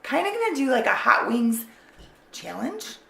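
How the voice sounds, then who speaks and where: A hoarse woman in her forties with a gravelly, raspy voice and a lively, up-and-down delivery. She chats in an upbeat way as she opens a family vlog. The room is echoey, with a faint hiss behind her. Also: high-pitched, moderate pace. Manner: chatty, upbeat explaining. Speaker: family vlogger. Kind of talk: casual vlog introduction.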